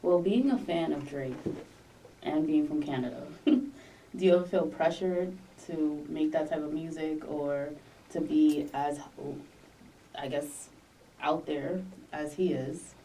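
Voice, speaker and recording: A woman with a low, mellow voice hosts the interview, chatty and quick to laugh. She sits a little away from the mic, and a faint hiss runs underneath. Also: recorded from a distance, rough recording. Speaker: podcast host. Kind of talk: casual interview chat.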